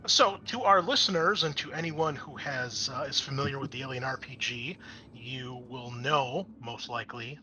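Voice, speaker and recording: Man running a tabletop game, noisy background. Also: mid-range voice. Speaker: game master. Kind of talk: explaining and narrating a tabletop roleplaying game. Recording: clear background noise.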